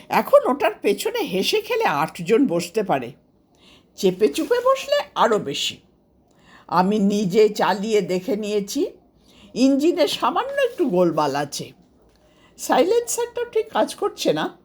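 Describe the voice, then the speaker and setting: A woman's voice: medium pitch, darkish tone. A woman voicing an enthusiastic, proud uncle in a storybook, talking in a chatty, persuasive way. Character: enthusiastic uncle boasting about his bargain jeep.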